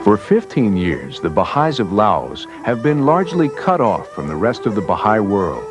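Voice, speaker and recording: A man's voice: on the low side, very animated, normal speed. A man reading scripted documentary narration as a newsreel narrator. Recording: music bed under narration. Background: background music.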